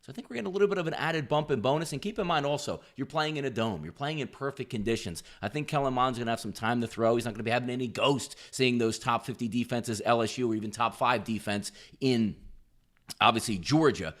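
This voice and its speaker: Male sports betting host, mid-pitched voice, conversational but punchy pick-of-the-day commentary with a sales push. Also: quick pace, a bit bright. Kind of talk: sports betting picks commentary, addressing listeners.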